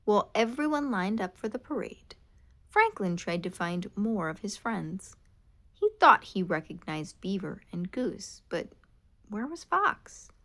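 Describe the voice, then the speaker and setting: A woman reading a children's picture book aloud in an expressive, animated way. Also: mid-range voice, a little slow. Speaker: storybook reader. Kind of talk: children's read-aloud narration.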